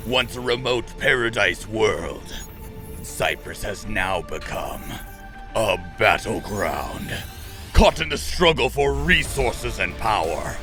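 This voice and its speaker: A man's voice: fairly low pitch, very animated, slow pace. A man reading dramatic, portentous scripted narration as a trailer narrator. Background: prominent music.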